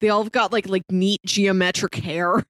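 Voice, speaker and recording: A woman co-hosting a casual film review podcast. Her pitch sits in the middle range and the sound is dry, free of room echo. She describes things emphatically in an easy conversational style. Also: steady tone, moderate pace, fairly bright tone. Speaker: podcast co-host.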